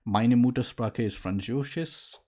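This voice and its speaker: A man in his late twenties, a native Maithili speaker, teaching a casual online lesson at a desk, explaining and pausing with fillers. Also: medium pitch, a little mellow. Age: late 20s. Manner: explains in mixed speech, halting with fillers. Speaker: language teacher. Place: indoor room at a desk.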